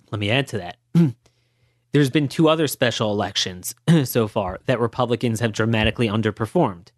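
A hoarse man hosting a political podcast, arguing his point emphatically and asking rhetorical questions. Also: mid-range voice, fairly bright tone, no room sound, studio quality. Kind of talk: opinionated political monologue.

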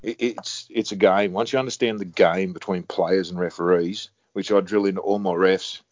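A man's voice: low-pitched, steady tone, moderate pace, darkish tone, no echo, lo-fi sound. A man, a head referee talking casually in a wry, blunt way. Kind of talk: casual conversation.

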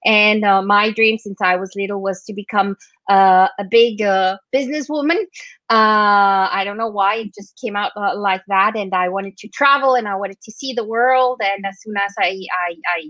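A woman's voice: medium pitch, fairly bright tone, no room sound. Hesitant Colombian-born woman, muffled sound. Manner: hesitant, many fillers and restarts. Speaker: guest, marketing leader. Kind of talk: podcast interview. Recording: dull lo-fi sound, dry.

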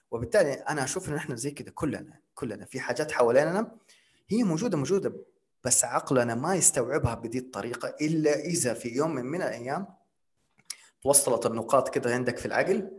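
A man's voice: mid-range voice, expressive, racing. A man speaking as a podcast guest, explaining ideas through rhetorical questions and English terms. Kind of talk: casual podcast conversation.

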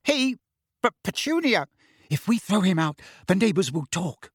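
A man's voice: high-pitched, moderate pace, husky, no room sound, clean studio sound, full character voice. A man voicing a blustering middle-aged uncle in an audiobook, easily deflated and prone to sputtering. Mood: bewildered, timid. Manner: roaring, sputtering, stammering when unsettled.

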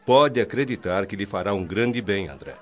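An actor playing a calm, fatherly male mentor who reassures a younger friend in a radio drama. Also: fairly low pitch, moderate pace, dull audio, close to an everyday voice. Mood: reassuring. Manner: warm, fatherly, gently chuckling.

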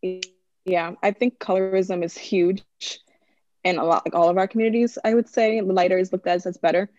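A woman's voice: on the low side, fairly even intonation, brisk, darkish tone, no echo, muffled recording. A woman in her twenties speaking earnestly as a podcast guest. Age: 20s. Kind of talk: casual group chat.

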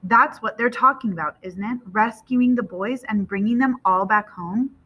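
A woman's voice: medium pitch, normal speed, breathy edge, lo-fi sound, natural voice. A woman voicing an earnest, defiant teenage boy in a story, arguing urgently with his parents. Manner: urgent, argumentative, indignant.

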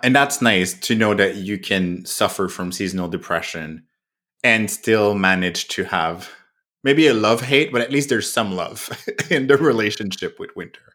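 A man hosting a podcast interview, easygoing and quick to laugh between questions. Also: fairly low pitch, sharp tone. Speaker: podcast host.

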